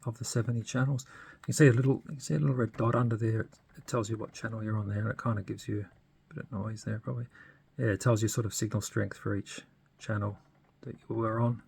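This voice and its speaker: A man with a lowish voice and a gravelly, creaky edge talks through a hands-on hobby demonstration. He sounds husky and unscripted, pausing to think aloud. His delivery is casual, hesitant and understated. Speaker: radio hobbyist presenting a modification demo.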